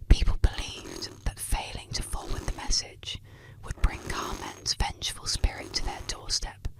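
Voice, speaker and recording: A storyteller in a soft, breathy, unvoiced whisper close to the microphone, narrating scripted spooky tales in a soothing, measured ASMR style. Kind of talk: scripted ASMR narration of ghost stories and urban legends.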